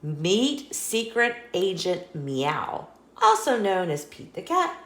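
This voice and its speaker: Animated woman reading a children's story. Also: lowish voice, roomy sound. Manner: expressive, deliberate phrasing for young children. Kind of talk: scripted read-aloud storytelling for kids.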